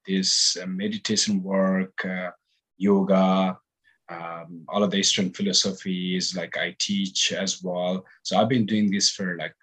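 A man's voice: deep voice, rough recording. A man from Nepal with a Nepali accent, a meditation teacher answering interview questions hesitantly with many fillers. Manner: hesitant, many ums and likes.

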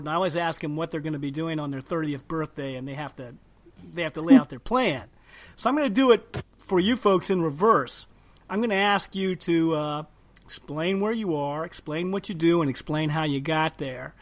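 A man's voice: fairly high pitch, normal speed. A man hosting a casual interview, speaking in an encouraging, affirming manner. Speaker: host.

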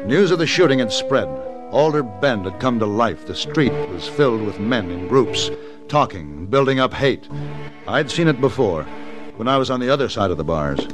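Male actor as determined frontier gunfighter, medium-pitched, articulate and crisp, vintage radio western drama. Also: expressive, moderate pace, music in the background, natural voice. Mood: grim, measured. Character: resolute, level-headed western gunfighter telling his own story. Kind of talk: old-time radio western acting and narration.

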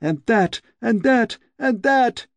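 A man's voice: very high-pitched, expressive, drawn-out words, creaky, dark tone, dry recording, clean studio sound, pitched above the performer's own voice, exaggerated voice. A man voicing a proud immortal goddess, fierce and impassioned, in a storybook reading. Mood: fierce shrieking. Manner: shrieking, impassioned.

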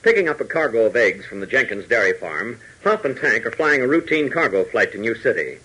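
A man's voice: mid-range voice, expressive, a little fast, sharp tone, slightly rough, rough recording. An American man narrating a 1940s radio adventure serial in a dramatic, emphatic announcer style.

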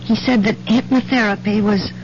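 An actress playing a nervous, timid wife in a radio drama, hesitant and pleading. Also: female voice, mid-range voice, fairly even intonation, moderate pace, natural voice. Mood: anxious, apologetic. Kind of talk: 1970s radio drama acting. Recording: noisy, dull old radio broadcast.

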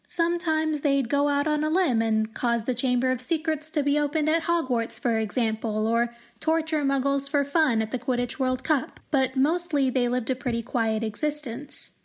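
A woman hosting a podcast, giving measured, articulate commentary on a fantasy book series in a scripted, explanatory style. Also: high-pitched. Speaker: podcast host.

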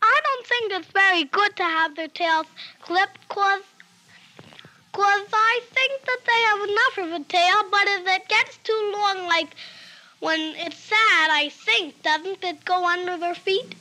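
Piercingly bright, very high female voice. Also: measured pace. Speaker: interviewee. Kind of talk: unscripted opinion, casual.

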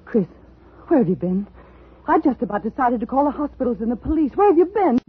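An actress playing an anxious, clinging middle-aged wife in 1950s radio drama, with an intense, dramatic delivery. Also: female voice, a little high, big pitch swings, fast talker, breathy edge, full character voice. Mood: worried, fussing. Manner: dramatic, intense delivery, swinging from hushed narration to pleading. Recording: tinny, noisy 1950s radio recording.

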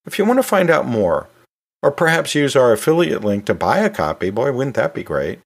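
A man's voice: medium pitch, sing-song, brisk, creaky. A man hosting a podcast in a conversational, storytelling way, chatting informally with asides like a teacher talking to listeners. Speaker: podcast host; anatomy and physiology teacher.

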